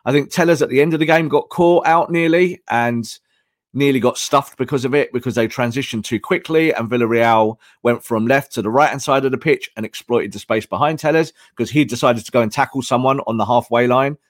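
A hoarse man analyzing football tactics on a podcast, emphatic and repeating his points. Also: mid-range voice, a little fast, dry recording, studio quality.

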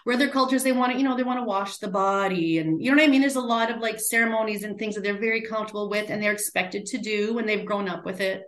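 A middle-aged woman talks in an easy, chatty way and keeps checking in with "right?". Her pitch sits in the middle, and the room adds a clear echo. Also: fast talker, hardly any pauses. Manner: chatty, warm, many "right?" and "like" tags. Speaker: guest, end-of-life doula. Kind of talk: casual interview talk.

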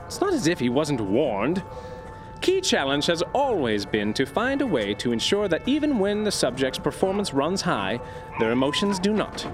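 An actor playing an earnest, obsessive scientist dictating research notes in formal, grandiose language for an audio drama. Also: male voice, a little high, wildly swooping pitch, moderate pace, soft background music. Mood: brisk, detached. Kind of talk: audio drama monologue as journal narration.

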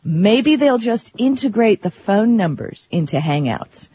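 A tech talk show co-host explaining things in a chatty, colloquial, conversational way, with a husky, rough-edged voice. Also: medium pitch, sing-song, unhurried, dark tone, no echo. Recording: dull, lo-fi audio.

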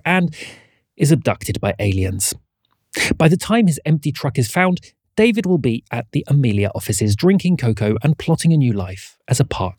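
A man's voice: on the high side, a little mellow, dry recording. A man reading scripted narration as the announcer of a podcast. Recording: dry studio sound.

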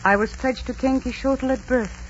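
Young princess, played by an actress, very high voice, devout and melodramatic, vintage radio romance, muffled recording with music behind. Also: female voice, fairly even intonation, normal speed, a little hiss or hum, close to an everyday voice. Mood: solemn, resigned. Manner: earnest, melodramatic, formal diction. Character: devout young Aztec princess, tender, fatalistic, in love. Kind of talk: 1940s radio romance acting.

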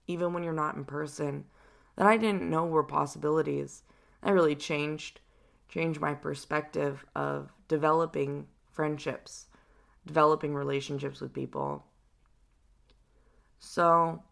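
Deep voiced young woman podcaster, kinda gravelly, rambly with lots of likes and ums. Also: fairly bright tone. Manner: casual, rambling, many fillers and restarts. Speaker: podcast host. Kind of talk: personal reflective podcast monologue.

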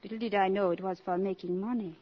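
An actress playing a proud, worldly, impoverished countess in a 1950s radio drama, with a haughty, dry, imperious manner. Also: female voice, mid-range voice, fairly even intonation, fast, natural voice. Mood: proud, cool. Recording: muffled vintage 1950s radio recording.

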